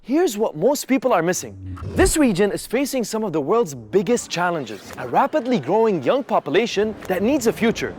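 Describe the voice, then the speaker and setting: Lively upbeat man in his thirties. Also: high-pitched, slight background noise. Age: 30s. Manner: upbeat, persuasive storytelling. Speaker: host. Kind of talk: scripted narration. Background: music.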